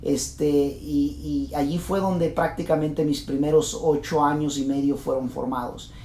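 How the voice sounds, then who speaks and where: A man's voice: fairly even intonation, unhurried, warm tone. A man in his late thirties with a Mexican accent, earnestly telling his life story to course subscribers, with many fillers and restarts. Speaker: real estate investor and course host. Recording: roomy with faint rumble.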